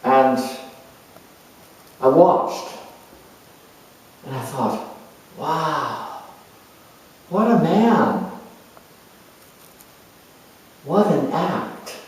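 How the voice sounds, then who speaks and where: A man playing a creative, spiritual-minded sculptor in a dramatized monologue, speaking in a halting, musing, expressive way with pauses. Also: on the high side, exaggerated voice. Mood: wistful. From New Zealand. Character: creative, sensual, reflective middle-aged male sculptor, spiritual seeker. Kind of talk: dramatized first-person monologue before an audience or room. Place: echoey room, recorded from far off. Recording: very echoey room, distant microphone.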